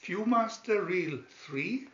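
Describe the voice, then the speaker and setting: A man narrates a picture storybook in a slightly high, very animated voice. He reads deliberately, pausing between short phrases. The recording is dull and roomy, with noticeable echo. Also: noisy background. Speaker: reader of picture-story booklets. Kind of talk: slow scripted storybook narration.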